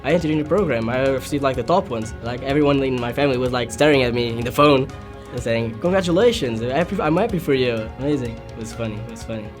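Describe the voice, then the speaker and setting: A man's voice: medium pitch, fast talker. A young man from Portugal with a Portuguese accent, a business student telling his story enthusiastically in imperfect English. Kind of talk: personal testimonial. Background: background music.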